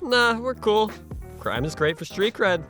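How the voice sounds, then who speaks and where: A man's voice: sits high, big pitch swings, a little slow, pushed and bright, faint music behind, exaggerated voice. A man voicing a naive, cocky young newcomer in an anime parody, glib and sarcastic with some stammering.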